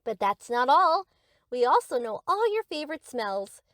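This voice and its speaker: A woman's voice: high-pitched, big pitch swings, moderate pace, fairly bright tone, dry recording, studio quality. A woman playing a sweet, eager, obsessive young yandere best friend in an anime-style audio roleplay, gushing and theatrical.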